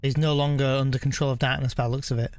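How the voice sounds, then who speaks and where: A man's voice: medium pitch, level tone, fast, smooth. A man giving casual live commentary as a streamer watching game cutscenes. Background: game music.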